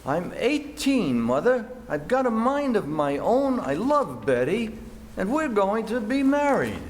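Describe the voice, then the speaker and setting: Man as naive eighteen-year-old sweetheart, radio comedy; high, slow, halting, earnest. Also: big pitch swings. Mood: defiant. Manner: halting, gosh-golly earnestness. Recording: echoey room with background noise.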